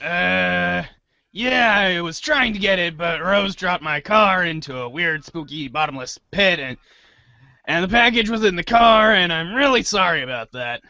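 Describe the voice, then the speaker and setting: A man acting an earnest, excitable, rambling teenage boy hero in a storybook-style reader's theater. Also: sits high, a little slow, creaky, no room sound, muffled recording, exaggerated voice. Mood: eager, sheepish.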